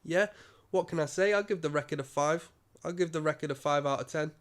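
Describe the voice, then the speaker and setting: A man's voice: fairly high pitch, fairly quick. A man with a cold, giving a rambling casual album review full of ums and restarts. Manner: rambling, many ums and restarts, occasional coughs. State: stuffy nose from a cold. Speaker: music reviewer.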